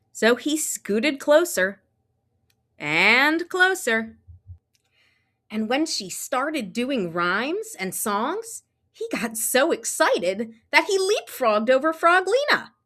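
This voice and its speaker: A woman reading a children's picture book aloud, with expressive, drawn-out storytelling and sound effects. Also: on the high side. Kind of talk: animated children's read-aloud narration.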